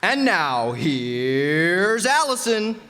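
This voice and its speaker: A man's voice: high-pitched, very animated, extremely slow, sharp tone. A man announcing cast credits in old-time radio style to an audience in a town hall. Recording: echoey hall, crowd, noisy background.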